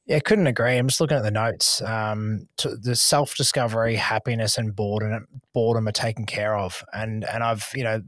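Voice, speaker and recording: A man's voice: on the low side, steady tone, moderate pace, few pauses, fairly bright tone, no room sound. Reflective man, dry close sound. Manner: reflective, encouraging. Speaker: podcast co-host. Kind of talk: casual podcast chat.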